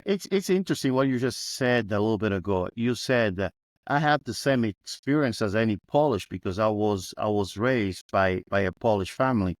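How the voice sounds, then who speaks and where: A man's voice: lowish voice, animated, moderate pace, dry recording, clean studio sound. A man hosting a podcast, talking animatedly and repeating words as he begins sentences. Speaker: podcast host. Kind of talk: conversational interview.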